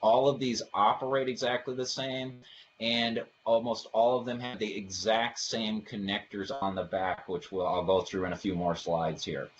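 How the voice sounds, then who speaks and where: A man's voice: on the low side, little pitch movement, moderate pace, a crowd in the background. A man giving an explanatory product presentation for a webinar, talking through technical slides in informal phrasing. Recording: dull lo-fi audio, faint background noise.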